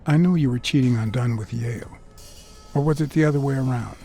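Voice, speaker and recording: An actor playing a hard-boiled private detective, a wry, brooding cat who speaks in measured, terse phrases in a film noir game. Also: male voice, mid-range voice, gravelly, dark tone, slightly rough. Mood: probing. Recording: music behind the voice.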